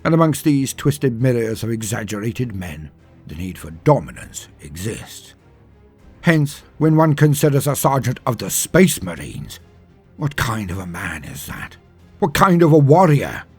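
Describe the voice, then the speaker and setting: A man delivering grandiose scripted narration like a stirring speech, emphatic and full of deliberate dramatic pauses. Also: fairly low pitch, big pitch swings, husky, soft background music. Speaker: narrator of a fan lore channel.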